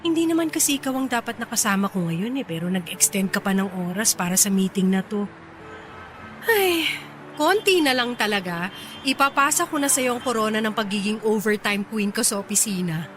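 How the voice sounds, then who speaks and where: An actress playing a proud, easily irritated but bashful young office boss in a Filipino radio drama, with a Filipino accent. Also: female voice, mid-range voice, lively intonation, brisk, fairly bright tone, faint music behind, lightly acted. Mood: scolding, fretful. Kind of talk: radio drama acting in Taglish.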